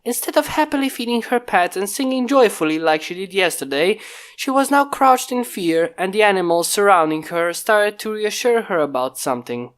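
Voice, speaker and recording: A hobbyist reader narrating a fan-fiction chapter in a steady storytelling voice, recorded on a failing home microphone that pops. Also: mid-range voice, normal speed. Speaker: fanfiction reader. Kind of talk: amateur audiobook narration.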